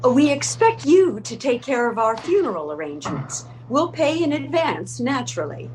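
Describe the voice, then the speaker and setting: Casting: proper, bossy old lady played by a woman for stage comedy, fairly high, animated voice, formal and unflappable delivery. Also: normal speed, slight background noise. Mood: businesslike. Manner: formal, matter-of-fact, bossy. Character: brisk, proper, determined eccentric old lady. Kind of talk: comedic readers theater acting.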